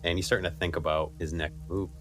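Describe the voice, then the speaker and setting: A man improvising as a tabletop game player, haltingly describing and voicing his character. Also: low-pitched, little pitch movement, fairly bright tone, soft background music. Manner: halting, thinking aloud.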